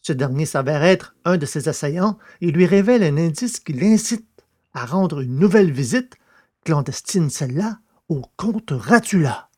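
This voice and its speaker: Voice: medium pitch, expressive, normal speed, warm tone. Scripted audio drama recap narrator.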